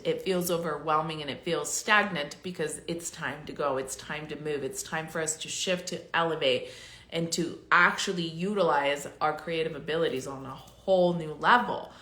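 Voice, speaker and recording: A woman hosting a casual live stream as a spiritual coach. She talks in an effusive, rambling way with many fillers, and she sounds slightly raspy and congested. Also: fairly low pitch, pushed and bright, roomy sound. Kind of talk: casual livestream monologue.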